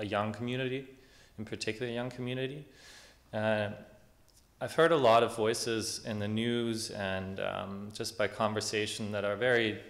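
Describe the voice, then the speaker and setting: A young man from Germany speaking at a public meeting, earnest and hesitant with many fillers. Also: fairly low pitch.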